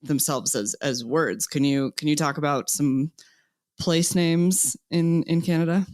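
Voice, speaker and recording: Woman host with a really low voice, dry close sound, asks friendly questions. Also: measured pace, a little mellow, no room sound. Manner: encouraging, asks follow-up questions. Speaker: podcast host. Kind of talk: interview questions.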